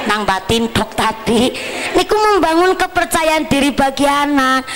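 Slow-paced woman preacher with Javanese accent. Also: high voice, a bit bright. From Central Java, Indonesia. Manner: teasing, folksy. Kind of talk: lively sermon mixing teaching with humour. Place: live religious gathering.

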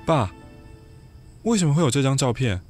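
A man's voice: medium pitch, sing-song, moderate pace, close to an everyday voice. Puzzled teenage boy played by a man. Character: puzzled, polite teenage schoolboy. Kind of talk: radio drama acting. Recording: radio drama with music underneath.

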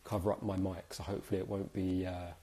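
Hoarse, upbeat man hosting a live stream. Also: sits low, level tone, fast talker, warm tone. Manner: chatty, upbeat, many fillers. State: hoarse from a cold. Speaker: host. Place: indoors, small room.

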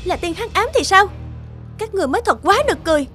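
A woman voicing a proud, misunderstood young dark fairy in a cartoon, speaking in a haughty, dramatic and emphatic way. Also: very high-pitched, expressive, fast. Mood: haughty, cutting. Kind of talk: animated fairy tale dubbing. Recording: music behind the voice.

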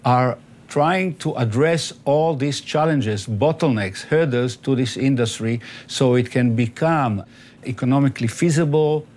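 An older man and research scientist explains his work in a lab interview, measured and gesturing. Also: mid-range voice. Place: indoor laboratory. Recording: interview with soft music under.

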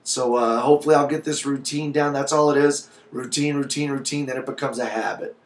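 A man's voice: medium pitch, steady tone, fairly quick. A man in his thirties speaking as a video blogger into a webcam microphone in a small home office. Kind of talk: casual vlog talk with passage read aloud. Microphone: webcam microphone at desk distance. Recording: roomy, echoey webcam sound.

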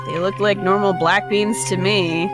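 A woman co-hosting a gaming video, reading visual novel narration with playful, expressive asides. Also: medium pitch, measured pace, music in the background.

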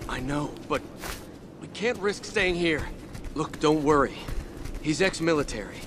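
A man's voice: on the high side, expressive, a little slow, background noise. An actor playing a brave, wry American boss and survivor in a video game drama, with urgency in his delivery. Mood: wry, tense.

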